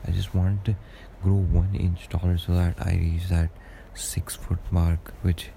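A young man with a deep voice, rambling through a casual solo podcast monologue with lots of hesitations. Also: flat delivery, measured pace, creaky, mellow, a little hiss or hum. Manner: rambling and hesitant, many fillers and restarts. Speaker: podcast host.

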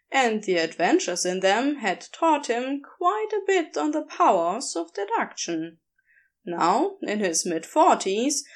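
A woman reading scripted fiction aloud as a narrator, with an expressive storytelling delivery. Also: fairly high pitch. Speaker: podfic narrator.

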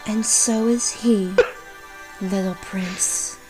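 A cruel royal guard from a fantasy audio drama acted by a woman. She sneers slowly in a mid-pitched female voice. Music plays clearly behind her. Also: fairly even intonation, poor audio. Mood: sneering.

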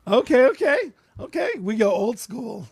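A man's voice: extremely high pitch, big pitch swings, moderate pace, creaky, husky, no echo. A man with a scratchy voice hosting a casual live-stream chat, talking with lots of fillers and laughs. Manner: chatty, laughs often, many fillers. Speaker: podcast host.

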